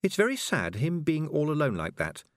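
A man's voice: a little high, wildly swooping pitch, normal speed, no room sound, studio quality, pitched above the performer's own voice. A man voicing an earnest, headstrong young girl apprentice witch, questioning and a bit sarcastic.

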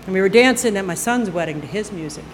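A woman in her sixties tells a personal story at a live storytelling night, speaking into a stage microphone. Her telling is unscripted and halting, full of small pauses and fillers, and she laughs easily. Her voice sits at a middle pitch with a faint hiss of noise behind it. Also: lively intonation. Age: 60s.

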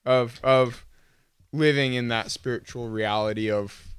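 A man co-hosting a faith podcast, calmly chatting and reading passages aloud. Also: medium pitch, measured pace, clear, resonant tone, no echo, clean studio sound. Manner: calm, reads passages aloud steadily. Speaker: podcast co-host. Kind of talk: casual chat and scripture reading.

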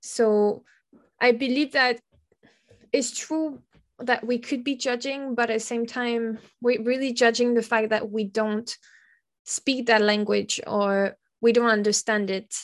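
Woman, higher voice, thinking out loud, restarts a lot. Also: little pitch movement, unhurried. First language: French. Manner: reflective, searching for words. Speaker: secondary school teacher, guest. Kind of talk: conversational podcast interview.

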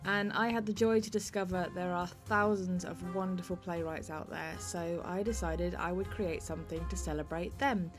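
A woman's voice: fairly low pitch, fairly even intonation, normal speed, few pauses, faint music behind. A woman with a British accent hosting a podcast in a wry, self-deprecating way, partly scripted and partly chatting. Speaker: podcast host. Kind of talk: scripted introduction and friendly interview.

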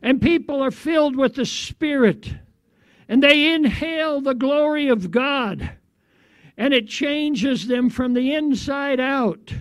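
A man preaching in a declamatory way, recounting a prophetic vision in short phrases with deliberate pauses. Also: very high voice, no echo. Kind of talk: prophetic sermon narration.

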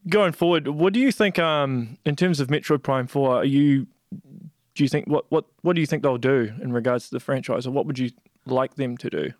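A male podcast host asking long, probing questions with curiosity. His voice sits at a medium pitch. The sound is dry and close. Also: a little fast, no echo. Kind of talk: interview conversation.

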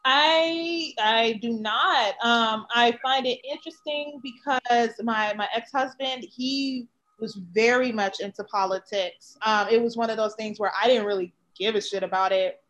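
An American woman from Chicago chatting casually, with an expressive, informal way of talking that leans on slang and swearing. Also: on the high side, unhurried, poor audio. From Chicago, United States. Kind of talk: casual chat.

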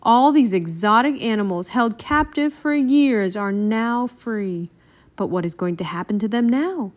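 A woman's voice: a little high, expressive, a little slow. Woman as a dramatic, emphatic storybook news reporter. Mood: dramatic, excited. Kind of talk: broadcast news parody in a storybook.

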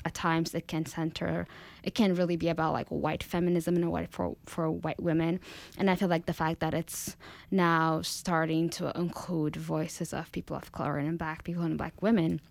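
Low, gravelly woman from Ethiopia. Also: no echo. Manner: thoughtful, hedging with "I feel like". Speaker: podcast guest. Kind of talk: casual panel discussion.

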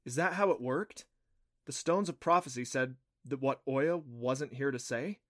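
A man's voice: medium pitch, a little slow, fairly bright tone, no echo, clean studio sound, close to an everyday voice. A man voicing an anxious, sarcastic young male adventurer in a fantasy story, speaking in a wry, informal way. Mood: uncertain.

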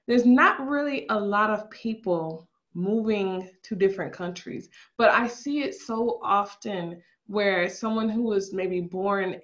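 A woman's voice: mid-range voice, lo-fi sound. A woman hosting a casual interview, chatty and affirming, asking questions with lots of "um" and "you know". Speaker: interviewer host.

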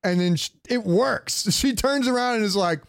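A man's voice: high voice, normal speed, vocal fry, smooth, dry recording, studio quality. A man co-hosting a podcast, chatting casually and enthusiastically about games in slangy speech. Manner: animated fan enthusiasm, slangy. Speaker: podcast co-host. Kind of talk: casual gaming chat.

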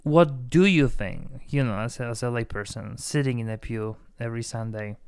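Chatty priest, Polish native speaker. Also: male voice, medium pitch, normal speed, darkish tone, clean tone, no echo, studio quality. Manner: chatty, many restarts and fillers. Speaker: priest and podcast host. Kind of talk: casual podcast chat.

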